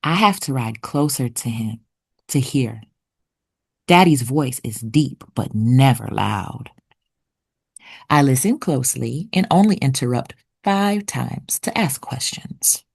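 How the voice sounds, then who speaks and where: A woman reading a children's picture book aloud expressively, with deliberate storytelling pauses. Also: extremely low pitch, expressive, creaky, a little mellow, husky. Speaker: children's read-aloud narrator.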